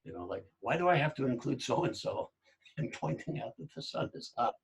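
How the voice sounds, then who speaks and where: A middle-aged man hosting a podcast, talking in a casual, halting way with many restarts. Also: mid-range voice, sing-song, vocal fry, slightly rough. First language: English. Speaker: podcast host. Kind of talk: casual conversation.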